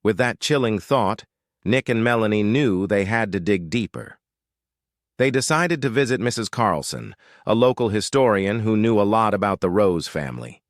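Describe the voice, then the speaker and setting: A man's voice: lowish voice, no echo, clean studio sound. A man narrating a scripted mystery story.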